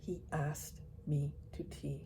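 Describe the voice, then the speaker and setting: A woman reading a story and voicing a poised, well-bred young woman who speaks crisply and precisely. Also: on the low side, animated, moderate pace, warm tone, a little hiss or hum, natural voice. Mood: cool, fixed.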